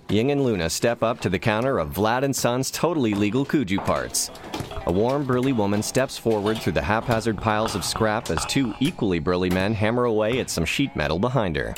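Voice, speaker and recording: A sardonic storyteller played by a man narrates scripted comedy with open opinions. His voice is on the low side and very lively in its pitch movement. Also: brisk, noisy background, close to an everyday voice. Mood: storytelling. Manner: sardonic, conspiratorial. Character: wry, opinionated male storyteller.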